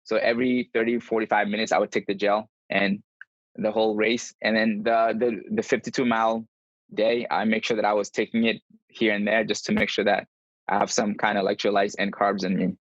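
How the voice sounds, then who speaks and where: A man with a fairly low voice answers interview questions in an earnest, casual way. The sound is a slightly dull video call with very little room in it. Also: little pitch movement, fairly quick, no echo. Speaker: podcast guest, endurance runner.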